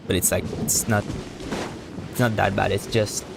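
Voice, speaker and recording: A man casually commentating a live game stream, explaining things in a hesitant, halting, rambling way. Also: lowish voice, steady tone, creaky. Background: music.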